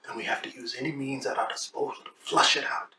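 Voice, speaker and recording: An actor playing a brooding, searching man in a dramatic monologue, halting and often falling to a breathy near-whisper. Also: medium pitch, steady tone, sharp tone. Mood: pensive, searching. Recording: distant echoey room, faint noise.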